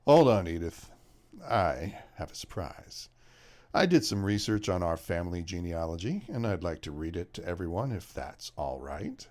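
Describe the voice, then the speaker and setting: A man's voice: low-pitched, sing-song, moderate pace, a little mellow. A man playing an earnest brother who talks at length about family history, in a radio drama. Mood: explaining.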